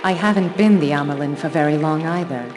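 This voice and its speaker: An actress playing a young, dutiful and stubborn sorceress leader in a fantasy game, with a solemn, firm, formal delivery. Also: female voice, deep voice, brisk, lightly acted. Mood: firm, reassuring. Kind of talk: dramatic fantasy game cutscene acting. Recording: game music behind the voice.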